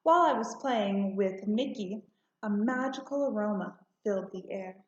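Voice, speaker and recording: A woman narrating a children's storybook aloud in a deliberate, expressive way. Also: medium pitch. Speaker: storybook reader. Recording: roomy, slightly muffled home recording.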